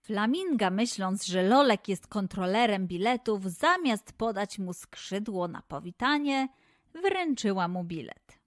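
A woman narrating a scripted fairy tale for children in an expressive, deliberate manner. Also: mid-range voice, dry recording, clean studio sound. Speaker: children's storyteller.

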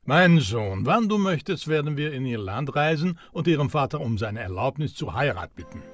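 A kingly father in a fairy tale cartoon. He sounds dignified and approving, with lively intonation. Faint music sits behind the dry voice. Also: mid-range voice, normal speed, dry recording.

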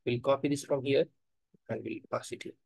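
A man teaching software testing in a screen-recorded coding tutorial, talking haltingly and thinking aloud while he types, with frequent fillers. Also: medium pitch, fairly even intonation, gravelly, husky, no echo, poor audio.

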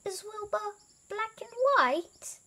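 A woman voicing an eager, childlike young elephant in a storybook, exclaiming excitedly. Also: very high-pitched, slow, voice pushed up, full character voice. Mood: curious.

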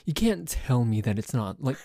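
A man's voice: medium pitch, sing-song, moderate pace, mellow, dry recording, clean studio sound. A man playing a dorky, jealous boyfriend in an ASMR roleplay, complaining in a stammering, exasperated way that sometimes drops toward a whisper. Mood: annoyed, whiny. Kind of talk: ASMR roleplay audio drama.

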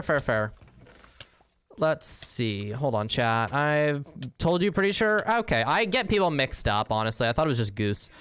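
A man's voice: fairly high pitch, fairly bright tone, clean tone, no echo. Chatty young man streaming a game. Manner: chatty, slangy, swears casually. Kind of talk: casual game livestream, reading chat.

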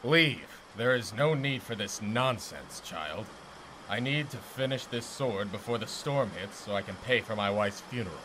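Guy acting a haughty bitter older greek smith in an audio drama, sharp voice, swings around a lot, bit of noise behind. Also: on the low side, expressive, normal speed, poor audio. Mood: gruff, dismissive. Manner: haughty, scornful, declamatory. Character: bitter, contemptuous older blacksmith, a widower in ancient Greece. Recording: noticeable background noise.

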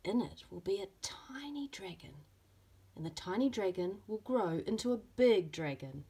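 A woman voicing a firm, dismissive mother in a storybook, giving clear instructions. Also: mid-range voice, expressive, moderate pace, dark tone. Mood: storytelling.

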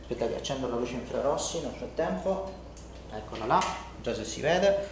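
A man's voice: mid-range voice, animated, normal speed. A middle-aged man working as a chiropractor, explaining and instructing a patient in an informal, reassuring way with frequent check-in questions. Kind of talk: explaining and instructing a patient during a treatment session. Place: indoor treatment room in a home-like studio. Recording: echoey room, some background noise.

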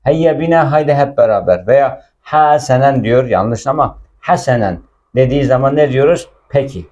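A man's voice: mid-range voice, lively intonation, normal speed, darkish tone, muffled recording. A man giving a casual language lesson, explaining film dialogue and repeating words with their translations. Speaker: Arabic teacher.

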